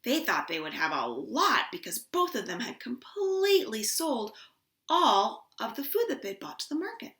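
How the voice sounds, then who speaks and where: A woman telling stories to young children in an expressive, playful way, with drawn-out emphasis, recorded indoors at home. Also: fairly high pitch. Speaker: children's storyteller at a library storytime. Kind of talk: animated storytelling for young children. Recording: echoey room.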